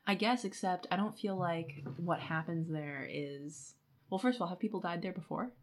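A woman's voice: on the low side, normal speed, slight background noise. A woman co-hosting a casual book discussion, analysing characters in an informal, conversational way peppered with "like". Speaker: podcast co-host.

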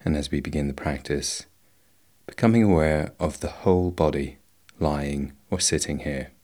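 A man guiding a meditation in a slow, measured, instructional way, leaving long pauses between phrases. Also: a bass voice, mellow. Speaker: meditation guide. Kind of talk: guided body scan meditation.

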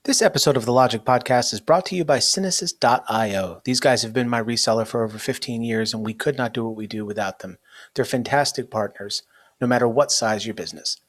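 A man's voice: mid-range voice, brisk. A man in his forties hosting a podcast, chatting in a rambling, self-mocking way with lots of fillers. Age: 40s. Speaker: podcast host. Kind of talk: casual interview chat.

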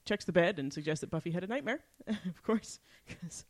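A woman's voice: on the low side, lively intonation, normal speed. A woman co-hosting a podcast, giving a casual, playful recap and commentary with a giggly manner. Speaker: podcast co-host.

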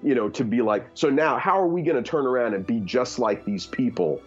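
A man's voice: medium pitch, animated, a little fast, husky, lo-fi sound, faint music behind. A man in his fifties giving candid opinions in conversation, earnest, with many fillers and restarts. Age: 50s. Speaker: guest.

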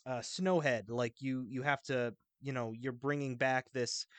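A man with an average-pitched voice discusses video games as a podcast panelist. His explanations ramble along informally, recorded dry with very little room sound. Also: fairly even intonation, a little slow, fairly bright tone, dry recording. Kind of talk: casual video game discussion.

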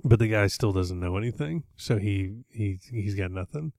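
A man's voice: fairly low pitch, expressive, gravelly, a little mellow, dry recording, studio quality. A man hosting a podcast, casually recapping an episode in a rambling, jokey way. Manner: rambling, jokey, with quick put-on voices. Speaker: podcast host.

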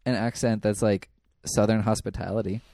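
A man's voice: lowish voice, steady tone, moderate pace, creaky, mellow, dry recording. A man co-hosting a podcast, chatting casually and laughing as he rambles. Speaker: podcast co-host. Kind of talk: casual chat.

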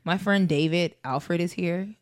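Giggly woman host, lowish voice. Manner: giggly, quick reactions. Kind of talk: casual chat.

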